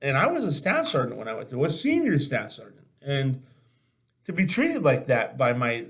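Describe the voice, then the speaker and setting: Male veteran host, mid-pitched, casual and blunt storyteller who acts out both sides of a conversation. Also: normal speed. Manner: animated, blunt, salty-tongued, acting out dialogue. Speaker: podcast host and army veteran. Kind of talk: casual storytelling on a video chat.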